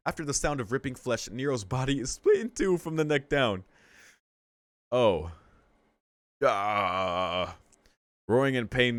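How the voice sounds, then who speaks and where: A man's voice: medium pitch, fairly bright tone, no echo. A young man reading a visual novel aloud and reacting in a loose, slangy way. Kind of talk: casual reaction commentary.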